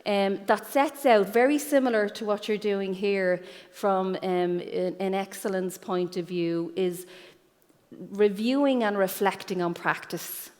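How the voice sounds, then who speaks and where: An Irish woman giving an explanatory conference presentation as a guest speaker, pausing often with ums. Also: mid-range voice, darkish tone. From Ireland. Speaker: guest speaker, careers guidance professional. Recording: echoey hall with long reverb.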